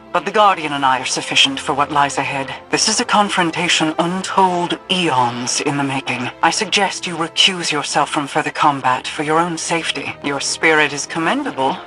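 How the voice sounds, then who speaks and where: A woman playing a regal, resolute queen in a video game, speaking in formal, measured, cryptic phrases. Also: deep voice, bright, forceful tone. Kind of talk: scripted video game acting.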